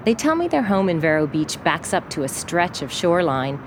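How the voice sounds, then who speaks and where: A woman reporter with a lower voice narrates a radio feature and puts measured, probing questions to people. She is interviewing them outdoors, and faint traffic hum sits under her voice. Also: big pitch swings. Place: outdoors by a flooded park, traffic nearby.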